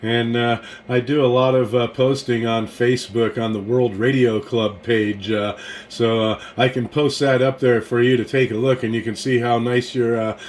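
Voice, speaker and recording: A man's voice: mid-range voice, flat delivery, normal speed. A man, an amateur radio operator making a casual, laid-back, folksy contact. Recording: faint background noise in radio room.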